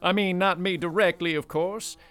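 High-pitched folksy Southern sheriff. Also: male voice, fairly even intonation, normal speed, a bit bright, clean tone, dry recording. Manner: folksy drawl, outwardly genial. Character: folksy, nosy small-town Louisiana sheriff, slyly controlling. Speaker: actor playing a sheriff. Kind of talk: radio drama acting.

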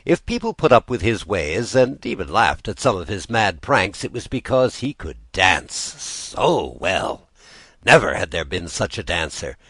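Low-voiced American man reading with lively intonation. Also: no echo. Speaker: volunteer audiobook reader. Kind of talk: scripted storybook narration.

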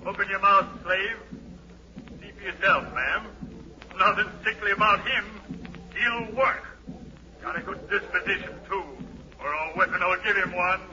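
An actor playing a brisk, callous slave auctioneer with commanding, declamatory sales patter in an old radio drama. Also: male voice, high voice, bright, forceful tone, exaggerated voice. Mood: callous, selling. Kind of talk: 1940s radio drama acting. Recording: dull, hissy 1940s radio broadcast.

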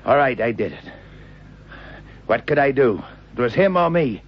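A man playing a cornered, defiant boxing second and killer in a radio crime drama, with a gravelly, husky, excitable streetwise voice. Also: medium pitch, moderate pace. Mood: desperate. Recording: dull, lo-fi old radio recording.

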